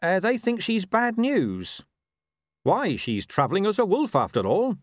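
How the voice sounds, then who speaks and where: A scruffy, streetwise talking dog, played by a male narrator from a comic storybook. He is sly and world-weary, full of colloquial asides, with the pitch pushed up and swinging about in a dry recording. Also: wildly swooping pitch, unhurried, no echo, full character voice. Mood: cynical, knowing.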